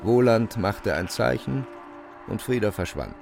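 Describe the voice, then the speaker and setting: A man narrating a literary radio play in a measured, deliberate storytelling manner. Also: on the low side, lively intonation, creaky, darkish tone, soft background music.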